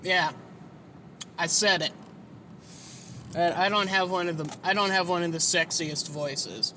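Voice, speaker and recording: A man's voice: high voice, fairly even intonation, a little slow, sharp tone, a little hiss or hum. A man hosting a casual solo podcast, rambling and self-deprecating, with a whiny, nasal voice and free swearing. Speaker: podcast host.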